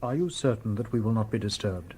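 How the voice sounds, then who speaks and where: Male actor as humanlike robot, measured formal manner, widely swinging intonation, old radio drama, clearly noisy recording. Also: lowish voice, lively intonation, dark tone, lightly acted. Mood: polite, reserved. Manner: formal, precise, measured, unemotional wording. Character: calm, courteous humanlike male robot detective.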